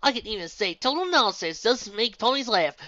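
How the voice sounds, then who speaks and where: A woman's voice: a little high, animated, a little fast, bright, forceful tone, dry recording, dull audio, voice pushed up. A woman voicing a plain-spoken, stubborn female farm pony with a folksy American country accent and an exasperated manner. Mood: mocking, wild.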